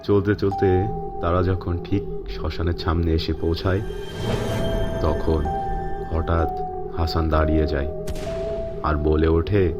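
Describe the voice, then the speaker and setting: A man's voice: deep voice, level tone, slow, pauses often, muffled recording. A man narrating a scripted tale as a storyteller. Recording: music behind the voice.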